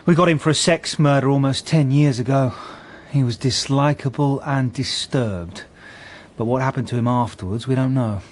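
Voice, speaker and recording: A man playing a reserved, methodical police detective in a radio drama, speaking in a measured, probing way. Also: mid-range voice, natural voice. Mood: probing, sober. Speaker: actor in a radio crime drama. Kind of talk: restrained radio drama dialogue.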